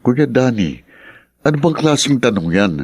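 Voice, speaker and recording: An actor playing a loyal, protective younger brother, earnest and direct, in a radio drama. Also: male voice, mid-range voice, big pitch swings, moderate pace, a little mellow, slightly rough, no room sound, studio quality. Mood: reassuring, earnest.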